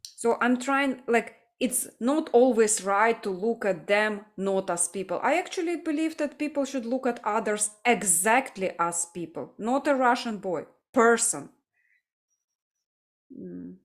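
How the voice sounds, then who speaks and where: A woman from Ukraine hosting a livestream discussion, speaking earnestly and haltingly as she searches for her words. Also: fairly high pitch, expressive. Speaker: livestream host. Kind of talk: casual discussion.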